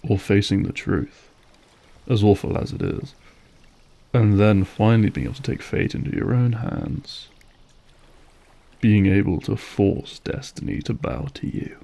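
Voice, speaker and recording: A man's voice: on the low side, measured pace, creaky, long pauses, warm tone. A male actor playing a suave, menacing, manipulative vampire lord in an audio roleplay, silky, mocking and formal as he savors each phrase. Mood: mock pity. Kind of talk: scripted audio roleplay acting.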